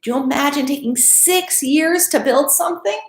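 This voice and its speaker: A woman in her thirties reading a picture book aloud to children in an expressive, teacherly way. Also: high voice, moderate pace, a little hiss or hum. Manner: expressive, teacherly, checks in with questions. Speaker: school principal reading aloud to pupils. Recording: echoey room.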